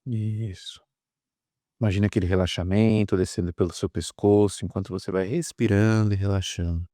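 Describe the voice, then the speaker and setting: A man with a Brazilian Portuguese accent leading a guided meditation, soothing and repetitive, with pauses between drawn-out phrases. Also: lowish voice, fairly even intonation, dark tone, no echo, clean studio sound. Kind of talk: guided meditation, hypnotic induction.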